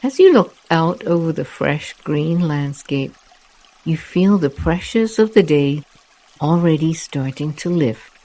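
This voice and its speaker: A meditation guide narrating a guided relaxation walk in a soft, soothing, unhurried way with long pauses. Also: mid-range voice, animated, poor audio.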